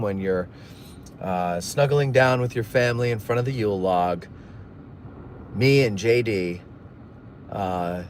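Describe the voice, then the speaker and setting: A man's voice: mid-range voice, a little mellow, slight background noise. A man hosting a casual live stream, rambling through stories and jokey asides while reading viewer messages. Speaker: livestream host. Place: in a moving car.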